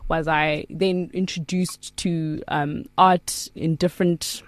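A young woman from Zimbabwe, a musician guest in a radio studio, talking in a playful, lively way. Her voice sits a little low for a woman and sounds close and dry on the mic. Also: takes it slowly, no room sound. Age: young adult. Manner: lively, laughs often, playful. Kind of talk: casual interview chat.

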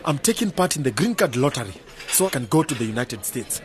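An actor playing a skeptical, sober-minded young graduate man in a radio drama, arguing and questioning, with a husky voice. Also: mid-range voice, big pitch swings, fast talker, music in the background, natural voice. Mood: skeptical, firm. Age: young adult. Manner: questioning, argumentative.

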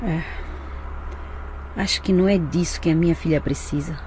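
A woman playing a middle-aged mother in a radio drama, measured, polite and doubtful. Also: deep voice, a little mellow, a little hiss or hum, lightly acted. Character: polite, doubtful mother defending her clever daughter.

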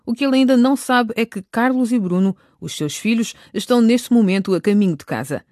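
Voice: medium pitch, fairly quick, a little mellow, dry recording. Dry-sounding radio drama narrator.

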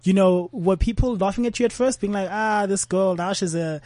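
A man's voice: high voice, moderate pace, a little mellow, no echo. A man from South Africa hosting a casual interview, hyping up and encouraging his guest. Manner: hyped, encouraging questioner. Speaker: host.

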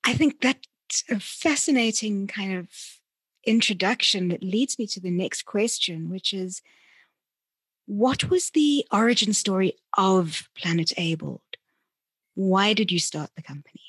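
A woman's voice: mid-range voice, unhurried, breathy edge, no room sound. A woman hosting a conversational interview, putting questions to her guest. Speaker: interviewer, host.

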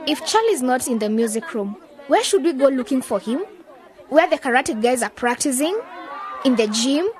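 A woman acting a bossy, focused young aspiring journalist in a radio drama, brisk and scolding. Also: high voice, lively intonation, a faint crowd. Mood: impatient.

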